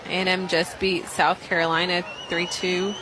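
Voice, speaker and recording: A man giving brief, casual color commentary on a live softball radio broadcast. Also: high voice, level tone, normal speed, noisy background.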